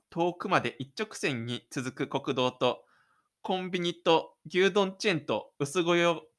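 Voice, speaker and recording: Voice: mid-range voice, fairly bright tone. A narrator reading scripted third-person storytelling for an audio drama.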